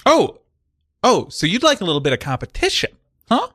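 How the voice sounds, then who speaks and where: A man's voice: sits high, huge pitch swings, brisk, fairly bright tone, no echo, clean studio sound, pitched above the performer's own voice, full character voice. A man improvising a wounded salesman in a comedy scene, sputtering and offended at his coworker. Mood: indignant.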